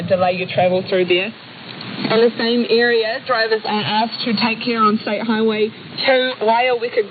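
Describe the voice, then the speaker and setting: A woman with a New Zealand accent giving a slightly informal live news report from the field. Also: fairly high pitch. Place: outdoors on a windy coast at evening. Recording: tinny shortwave radio, clear static noise.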